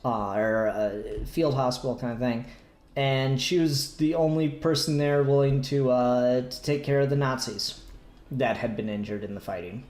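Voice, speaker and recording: A man hosting a casual review discussion, speaking haltingly and searching for words as he works through his points. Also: mid-range voice, fairly even intonation, darkish tone. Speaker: podcast host discussing comics. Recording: roomy echo, faint music behind.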